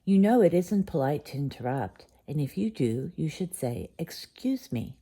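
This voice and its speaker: A woman voicing a gentle, motherly teapot who corrects politely, in a children's storybook. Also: deep voice, very animated, normal speed, husky, exaggerated voice.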